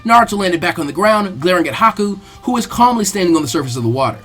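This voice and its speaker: A man narrates an adventure story at a quick clip. His voice sits a little high, the delivery is scripted and dramatic, and music plays faintly behind it. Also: animated, fairly bright tone.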